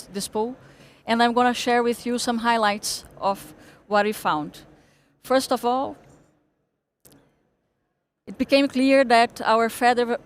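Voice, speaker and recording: A Brazilian woman, a native Portuguese speaker, giving a partly read speech in English with halting delivery, repeating and correcting words. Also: fairly high pitch, slight background noise. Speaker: panel speaker, political scientist.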